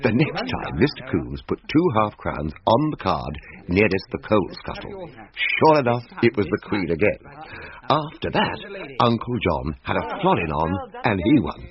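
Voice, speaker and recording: A man playing a jolly young gentleman who fondly narrates a family Christmas in wordy, genteel, amused period style. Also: lowish voice, big pitch swings, moderate pace, lo-fi sound. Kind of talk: theatrical first-person period storytelling.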